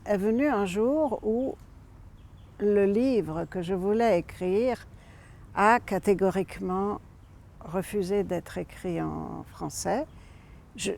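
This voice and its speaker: A slow, unhurried woman from Rome with a gravelly, creaky edge to her voice. She is a native Italian speaker and a novelist, reflecting thoughtfully on her writing. She speaks in a measured way, pausing on frequent hesitant fillers. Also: mid-range voice, warm tone. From Italy (Rome). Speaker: novelist presenting her book. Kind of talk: reflective spoken book presentation.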